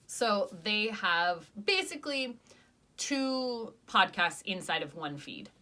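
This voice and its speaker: A woman's voice: medium pitch, big pitch swings, slow pace, bright, forceful tone. A young woman co-hosting a casual podcast review, chatty and enthusiastic, arguing playfully with her friend. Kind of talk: casual chat.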